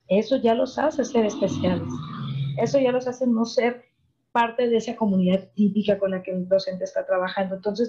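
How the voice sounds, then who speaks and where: A woman's voice: medium pitch, warm tone, slightly rough. A woman, a psychologist explaining things to parents in a virtual talk and often asking them rhetorical questions. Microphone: video call. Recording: muffled video call audio.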